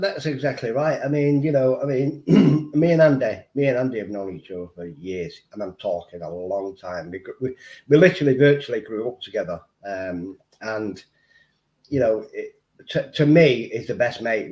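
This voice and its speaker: A man's voice: medium pitch, expressive, moderate pace, a little mellow. Rambling Mancunian man, lo-fi audio. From Manchester, England. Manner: rambling, repeats words, frequent fillers. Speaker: guest. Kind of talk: casual livestream chat. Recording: dull lo-fi audio.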